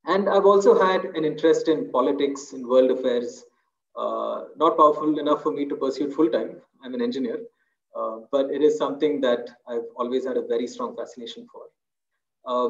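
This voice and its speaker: A man in his thirties speaks from a small room over a video call, talking at a mid pitch. His manner is thoughtful and loose, full of fillers and restarts. The audio sounds roomy and a little dull. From India. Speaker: author. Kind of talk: webinar talk.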